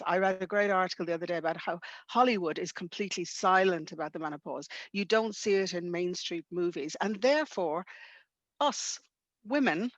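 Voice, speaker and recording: A woman's voice: medium pitch, dry recording. Sex: woman. Role: webinar host. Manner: emphatic, flowing sentences. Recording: dry, muffled video call audio. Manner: fluent, emphatic, speaks in long building sentences. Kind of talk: hosting a panel discussion.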